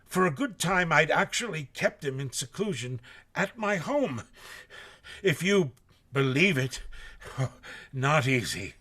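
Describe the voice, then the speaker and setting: An honest, rough sailor in a radio play. His voice sits a little high and he speaks slowly, halting and stammering with nervous deference. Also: big pitch swings, sharp tone, full character voice. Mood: uneasy storytelling. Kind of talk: live radio drama acting.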